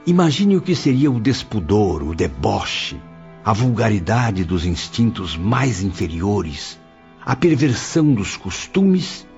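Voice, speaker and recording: A man's voice: fairly low pitch, big pitch swings, slow pace, a little mellow, heavily acted. A man playing a solemn spirit narrator confiding a grim tale, in a theatrical audio drama manner. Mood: grave disgust. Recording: dull audio with clear background music.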